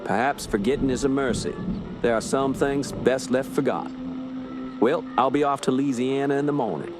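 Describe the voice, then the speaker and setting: A man performs a skeptical Louisiana inspector in a radio play. He has a mid-range voice with a Southern accent and a measured, folksy courtesy. Music plays clearly underneath. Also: mid-range voice, close to an everyday voice. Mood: courteous, grave. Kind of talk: radio drama acting.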